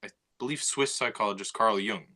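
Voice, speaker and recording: A man with a low, bright, forceful voice in casual chat. He talks in a measured, explanatory way and fills his pauses with easy verbal tics. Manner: measured, explanatory, filler "you know". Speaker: podcast co-host, writer.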